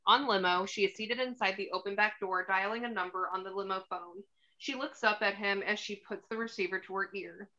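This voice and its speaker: A woman narrator reads stage directions from a script in a bright, crisp-edged voice of medium pitch. The recording is slightly dull and lo-fi. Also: steady tone, brisk.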